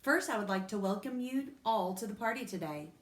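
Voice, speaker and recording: A woman's voice: medium pitch, animated, a little fast, reverberant, far from the mic, pitched above the performer's own voice, close to an everyday voice. A woman doing a stiff, formal dad.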